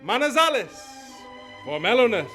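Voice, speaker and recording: Guy doing an old timey radio announcer, high excited pitch, big emphatic delivery, bit of music behind. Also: normal speed, heavily acted. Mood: emphatic, rousing. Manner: declamatory, emphatic. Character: polished, enthusiastic old-time radio sponsor announcer. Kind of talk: 1940s radio advertising and narration.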